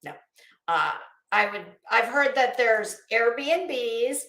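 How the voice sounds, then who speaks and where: A woman giving rambling, casual online commentary in a sarcastic, mocking manner, with halting delivery and drawn-out pauses. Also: fairly high pitch, animated, a bit bright. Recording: roomy, echoey sound.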